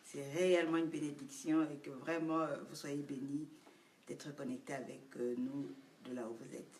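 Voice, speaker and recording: A man's voice: fairly high pitch, level tone, brisk, slight background noise. A man greeting the congregation with a formal blessing. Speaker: church member greeting. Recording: distant echoey room mic.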